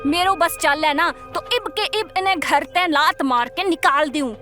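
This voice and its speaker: Nagging haryanvi saas, high voice, domineering, audio drama music. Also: female voice, measured pace, sharp tone. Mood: scornful, angry. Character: scolding, domineering middle-aged mother-in-law.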